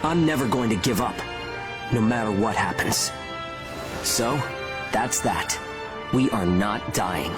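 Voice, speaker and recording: Steady, resolute young soldier. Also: male voice, fairly low pitch, takes it slowly, long pauses. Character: steady, determined young male monster-hunting soldier, loyal comrade. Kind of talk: video game cutscene voice acting. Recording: music behind the voice.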